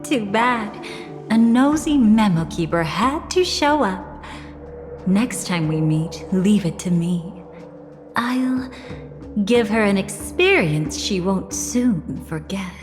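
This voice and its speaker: An actress playing a composed, cunning, protective young woman with playful menace in a video game story, speaking in a measured, knowing way. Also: medium pitch, animated. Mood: playfully menacing. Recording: long reverb, music behind.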